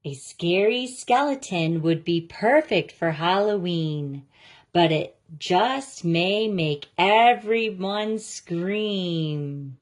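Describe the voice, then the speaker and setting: Lady doing a kids read aloud, slightly low voice, really slow n expressive storytime delivery. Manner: slow, deliberate, expressive delivery of rhyming lines. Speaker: story reader.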